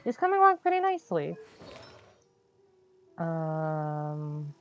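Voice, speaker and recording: A woman with a low voice gives casual, slow-paced commentary on a game stream. She mutters playfully to herself and drops in little interjections, with faint music behind her. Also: huge pitch swings, lo-fi sound.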